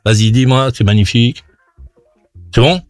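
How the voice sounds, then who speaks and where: A man's voice: mid-range voice, normal speed, no echo, soft background music. A man arguing insistently in a heated debate, sarcastic at times and full of hesitations.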